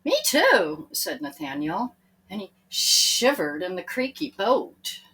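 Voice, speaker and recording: A woman's voice: lowish voice, big pitch swings, takes it slowly, bright, forceful tone, husky. A woman reading a children's story aloud expressively, speaking to her young listeners in asides.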